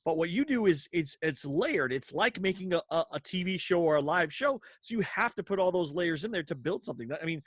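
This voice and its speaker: High-voiced enthusiastic male podcast host. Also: lively intonation, brisk, hardly any pauses, fairly bright tone, no echo. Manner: enthusiastic, effusive. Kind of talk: casual chat.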